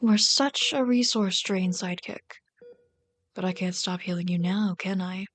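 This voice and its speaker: A woman voicing a bitter, yearning female villain in an intimate ASMR roleplay, brooding and dramatic, slipping into breathy whispers. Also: on the low side, moderate pace, lightly acted. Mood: irritated tenderness.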